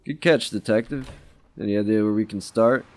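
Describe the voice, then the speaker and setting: A man's voice: fairly low pitch, a little fast, a little hiss or hum, close to an everyday voice. An actor playing a cynical, sarcastic male detective in an audio drama. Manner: sarcastic, crude remarks.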